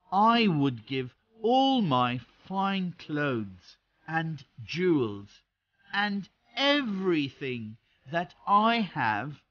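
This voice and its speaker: Spoiled young fairy-tale princess, performed by a storyteller, tearful and haughty, very slow, dramatic delivery. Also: medium pitch, dull audio, voice pushed up. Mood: tearful, pleading.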